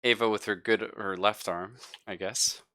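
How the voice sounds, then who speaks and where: Low, sharp-voiced male game master. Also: steady tone, moderate pace, dry recording, clean studio sound. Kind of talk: narrating a tabletop roleplaying game. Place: live-streamed game session.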